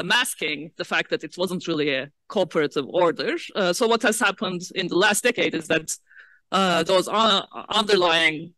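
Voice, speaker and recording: Woman from Turkey, panelist; bright, crisp delivery, dry close mic, thoughtful and filler-heavy. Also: on the low side, no room sound. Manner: measured, frequent "uh" fillers, occasional soft laughs. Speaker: panel speaker. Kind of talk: academic roundtable remarks. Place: large lecture hall.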